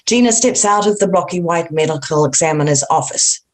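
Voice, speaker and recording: Woman narrating a script, lower-pitched voice. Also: a little fast. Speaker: stage-direction narrator.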